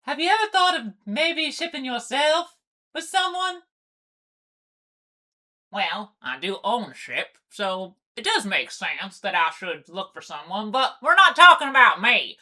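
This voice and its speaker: A woman's voice: a little high, very animated, measured pace, sharp tone, exaggerated voice. A woman voicing a bossy, teasing pony sea captain with pirate-style speech in a cartoon comic dub. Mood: coaxing, assured.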